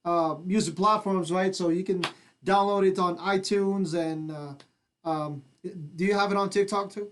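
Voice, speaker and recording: A man's voice: high-pitched, fairly even intonation, normal speed, fairly bright tone, slight background noise. A man co-hosting an online radio interview, chatting casually in an enthusiastic, slangy manner.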